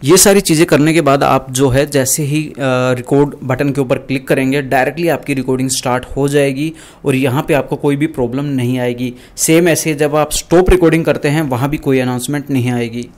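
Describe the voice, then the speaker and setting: Mellow Indian man explaining tech. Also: medium pitch, fairly even intonation, a little fast, few pauses. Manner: explanatory, conversational. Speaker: tech video host. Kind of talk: casual tutorial to camera. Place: small indoor desk studio.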